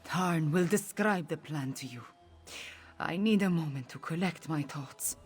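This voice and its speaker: A woman playing a proud, solemn exiled princess in a fantasy game, speaking gravely and formally in a husky voice. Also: unhurried. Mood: solemn, hopeful. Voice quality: low, husky. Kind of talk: video game character acting.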